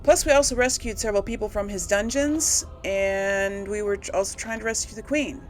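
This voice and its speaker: A woman's voice: medium pitch, steady tone, brisk, faint music behind. A woman giving casual, chatty let's play commentary, thinking aloud and asking herself questions as she goes. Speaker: video game streamer and series host.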